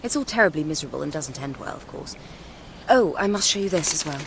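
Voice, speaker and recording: Young educated Englishwoman, lowish voice, articulate, teasing, confiding, radio drama, faint ambient noise. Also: expressive, fast. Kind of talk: naturalistic radio drama dialogue.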